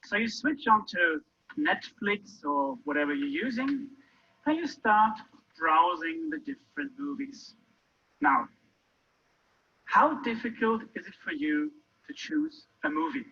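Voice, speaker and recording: High-voiced guy running an audience quiz, super bright and a bit husky, muffled sound. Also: very animated. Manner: slow, guiding the audience through a quiz. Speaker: workshop presenter. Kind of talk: interactive presentation to an audience. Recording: muffled lo-fi recording.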